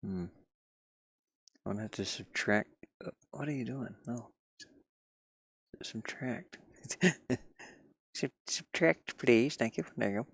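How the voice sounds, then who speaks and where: A man's voice: mid-range voice, expressive, slow, gravelly, pauses often, slightly rough, no echo. A man from the United States chatting casually on a live game stream, rambling and muttering to himself as he works.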